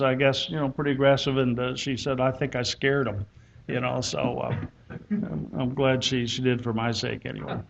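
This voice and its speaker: A man opens a church gathering, speaking in a halting, anecdotal way with many ums and restarts as he introduces the guest. His mid-pitched voice comes through a somewhat dull, lo-fi recording. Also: gravelly, slight background noise. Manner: warm anecdotal, frequent hesitations. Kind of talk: church conference talk.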